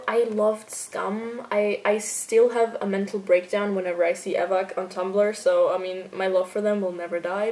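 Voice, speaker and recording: A woman's voice: medium pitch, darkish tone. Chatty woman, filler-heavy. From Austria. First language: German. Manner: chatty, filler-heavy with frequent "like", laughs easily. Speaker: fan video editor answering viewer questions. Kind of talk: casual Q&A vlog.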